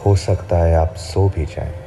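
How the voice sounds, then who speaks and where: Deep-voiced guy doing sleep hypnosis, super slow and soft, music in the background. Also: fairly even intonation, creaky. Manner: slow, soft, soothing guidance. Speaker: hypnotherapist guiding a sleep session. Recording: music playing behind the voice.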